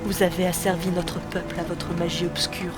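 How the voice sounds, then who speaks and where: A woman playing a brave, sorrowful young elf princess in a fantasy audio drama, speaking gravely and formally. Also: on the low side, little pitch movement, normal speed, close to an everyday voice. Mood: sad reproach. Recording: loud music over the voice. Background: loud music.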